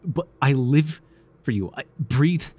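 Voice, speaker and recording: A man voicing a devoted, nervy, lovestruck cultist in an ASMR roleplay, earnest and halting, shifting between grand and flustered delivery. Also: mid-range voice, lively intonation, mellow, breathy edge. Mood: fervent, wounded. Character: devoted, awkward young male cultist, zealous, lovestruck, nervy.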